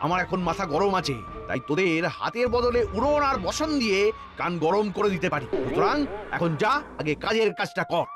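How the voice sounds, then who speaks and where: A man's voice: sits high, animated, moderate pace, over music. A man voicing a vain, scheming, whiny royal minister in a cartoon, protesting and wheedling. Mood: sulky, plotting. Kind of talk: Bengali cartoon dubbing.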